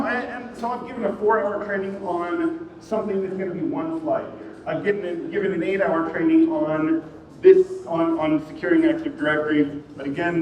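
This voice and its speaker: A man giving a casual, self-deprecating conference talk, with lots of ums and restarts, in a room at a wedding venue. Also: sits high. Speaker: conference speaker. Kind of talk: casual rambling tech talk. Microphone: room mic heard from a distance. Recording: echoey hall, distant, muffled.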